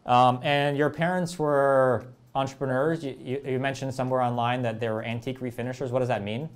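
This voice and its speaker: Relaxed young male host on a video call. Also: medium pitch, a little fast, clean tone. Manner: relaxed, frequent "uh" fillers. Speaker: show host. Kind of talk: casual interview hosting.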